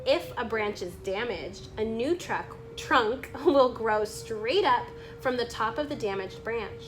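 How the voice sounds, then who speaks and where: A woman reading a nature book aloud in a measured, deliberate narration style. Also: on the high side, animated, slight background noise. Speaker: reader reading a children's nonfiction book aloud.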